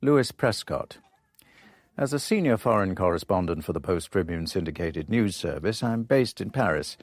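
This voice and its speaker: An actor playing a skeptical foreign correspondent in a radio drama, dry and laconic. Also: male voice, deep voice, animated, normal speed, no room sound. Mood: wry, narrating. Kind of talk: radio drama narration and dialogue.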